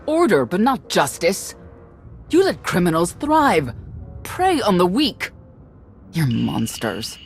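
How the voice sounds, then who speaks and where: An actor playing a stern, righteous rebel fighter in a video game, blunt and terse. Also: male voice, sits high, wildly swooping pitch, slow pace, long pauses, soft background music, full character voice. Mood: indignant. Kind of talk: dramatic video game acting.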